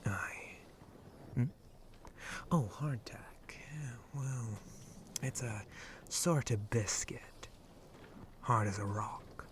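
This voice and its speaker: Man voicing a slow, breathy, flirty shark pirate. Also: slight background noise. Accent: put-on pirate speech. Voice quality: breathy, frequently whispered. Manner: slow, teasing, drawling pirate talk with low chuckles. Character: flirty, roguish shark-man pirate captain with sharp teeth. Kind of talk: ASMR roleplay addressed to the listener.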